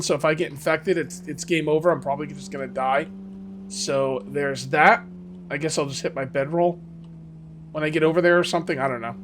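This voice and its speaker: Man, gameplay host, hoarse voice going, rambling, chatty with viewers, slightly high voice. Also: a little hiss or hum. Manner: rambling, self-correcting, talks to viewers. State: losing his voice. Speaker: gaming video host. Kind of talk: casual let's-play commentary.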